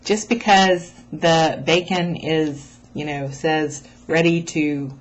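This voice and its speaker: Lady vlogger doing a casual pep talk, kinda low voice, really slow pace, encouraging and emphatic. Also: fairly even intonation. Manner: encouraging and emphatic, speaking directly to the listener. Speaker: host of a raw food vlog. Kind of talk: casual motivational talk to followers.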